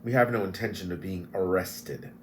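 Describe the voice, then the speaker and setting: Man reading a quiet, steady half-elf. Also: low-pitched, moderate pace, slight background noise, natural voice. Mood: firm. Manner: quiet and level. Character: calm, steady male half-elf warrior.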